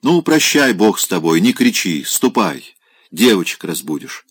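A man's voice: on the low side, sing-song, a little slow, no echo, clean studio sound. Man playing a stern elderly lady. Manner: stern, commanding. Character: stern elderly woman, mistress of the house.

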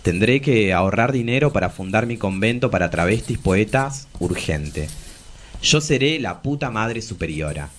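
An actor playing a bawdy, melancholic travesti poet in their forties in a theatrical, confessional radio drama. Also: male voice, fairly low pitch, animated, normal speed, a little hiss or hum, natural voice. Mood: weary, bitter. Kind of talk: radio drama dialogue and monologue.

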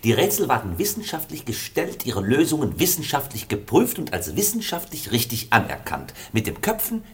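A man's voice: medium pitch, huge pitch swings, moderate pace. A man playing a pedantic, officious comic minister in a theatrical radio play, pompous and precise.

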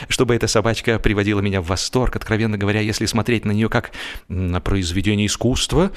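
A man narrating a humorous literary story in a theatrical, expressive way, with deliberate pauses and stretched words for emphasis. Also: medium pitch. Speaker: audiobook narrator and actor.

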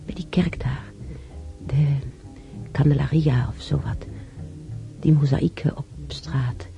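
An actress playing an elderly bedridden widow in a radio drama, musing haltingly and wistfully over her memories. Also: sits low, steady tone, gravelly, warm tone, lo-fi sound, soft background music, exaggerated voice. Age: older. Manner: halting, musing, with sighs and whispers.

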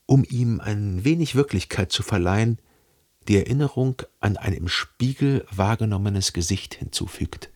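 Husky, brooding jilted lover. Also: male voice, fairly low pitch. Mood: bleak reflective. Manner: introspective, deliberate, ruminating. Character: brooding, obsessive jilted male lover narrating his thoughts. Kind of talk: literary radio drama monologue. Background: music.

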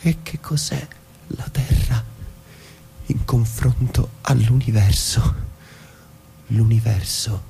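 An actor playing a philosophical, declamatory narrator in theatrical scripted narration, with a husky voice. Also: male voice, mid-range voice, slow pace, long pauses, mellow, slight background noise. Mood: solemn. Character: philosophical, declamatory narrator musing on time and memory.

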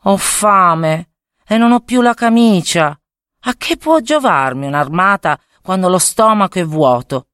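A woman's voice: lowish voice, lively intonation, a little slow, fairly bright tone, no echo, studio quality, voice pushed up. A woman voicing a naive eighteen-year-old orphan boy in a fairy tale, wide-eyed and exclamatory. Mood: regretful.

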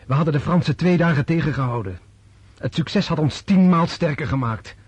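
An actor in a Dutch radio drama playing a disillusioned, resolute Russian officer prince, speaking in a deliberate, scornful way. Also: male voice, a little high, breathy edge, no room sound. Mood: bitter, lecturing.

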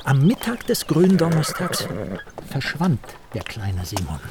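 A man's voice: fairly high pitch, huge pitch swings, unhurried, noisy background, heavily acted. An actor playing an eager, courtly storyteller in a radio play, drawing out his boyhood tale in ornate, theatrical phrases. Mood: suspenseful. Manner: courtly, ornate, teasingly drawn out. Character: eager, polite male storyteller savoring a boyhood village tale.

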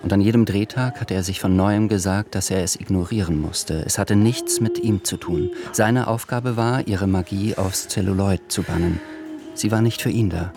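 A male narrator with a deep voice delivers literary storytelling for a radio play. Music plays clearly behind him. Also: normal speed.

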